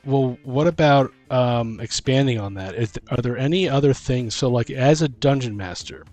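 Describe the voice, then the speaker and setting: Man chatting casually in a higher voice. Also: normal speed, a little mellow, soft background music. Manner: informal, mildly profane, thinking aloud. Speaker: podcast host and dungeon master. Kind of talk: casual chat about running tabletop games.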